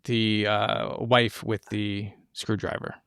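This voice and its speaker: A man co-hosting a podcast, talking through a film in a casual, halting, excitable way. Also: on the low side, vocal fry, smooth, no echo, clean studio sound. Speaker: podcast co-host.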